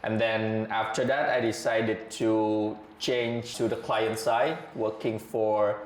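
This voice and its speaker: A man, a podcast guest talking in a measured, thoughtful way with frequent hesitations. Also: lowish voice, level tone, a little hiss or hum. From Vietnam. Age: 30s. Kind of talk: casual interview chat. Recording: echoey room.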